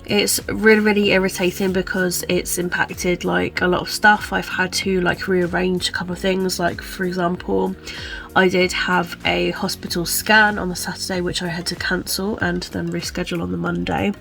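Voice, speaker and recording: A woman's voice: lowish voice, flat delivery, moderate pace, vocal fry, fairly bright tone, soft background music. A young woman chatting casually about her week and her reading, stuffy and congested with a chesty cough, full of "kind of, like" fillers. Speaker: book vlogger. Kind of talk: casual chat to camera.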